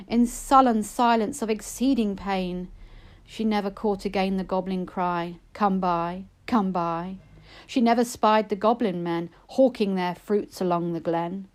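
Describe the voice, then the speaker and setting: A woman reading narrative poetry aloud, with measured, expressive and dramatic phrasing. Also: mid-range voice. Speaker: poetry reader.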